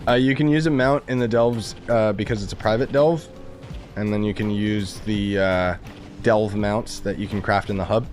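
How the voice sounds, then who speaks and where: A man's voice: lowish voice, fairly even intonation, normal speed, soft background music. A man live streaming a game with casual, rambling, slangy commentary, his voice a bit worn from coughing. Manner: informal, rambling, gamer slang. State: losing voice, coughing.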